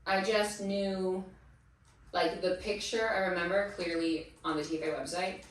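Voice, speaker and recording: Woman guest, 30s, lower-pitched, lively storyteller; echoey room, mic at a distance. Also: normal speed. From Massachusetts. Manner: chatty storyteller, animated quoting of others. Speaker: guest, teacher. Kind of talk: casual interview chat.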